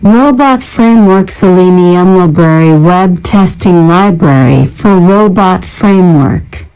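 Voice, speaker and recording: Female narrator, lowish smooth voice, unhurried scripted read-out of technical names and short descriptions. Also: darkish tone, low-quality recording. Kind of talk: scripted narration, reading out a software library list.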